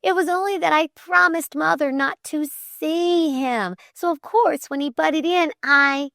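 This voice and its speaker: A woman's voice: very high-pitched, slow pace, creaky, fairly bright tone, no echo, clean studio sound, pitched above the performer's own voice, full character voice. A woman voicing an earnest, flustered young girl who talks formally and hesitantly in an audiobook story. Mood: flustered, protesting.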